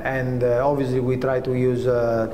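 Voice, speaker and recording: A man's voice: medium pitch, completely flat delivery, normal speed, dark tone. A middle-aged man with non-native English phrasing, a sailor answering questions in a team interview. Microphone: on-camera interview before sponsor backdrop. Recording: echoey room, faint noise.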